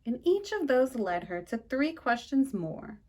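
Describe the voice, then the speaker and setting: Lively woman reading a picture book. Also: high-pitched. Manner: lively sing-song reading with slow emphatic pauses. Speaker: storytime reader.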